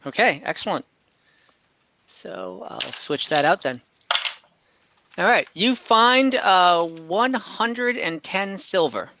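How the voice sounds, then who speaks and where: A man narrating an online tabletop game as game master, improvising haltingly with casual asides to the players. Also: high-pitched, expressive, vocal fry, pushed and bright, highs cut off, a little hiss or hum.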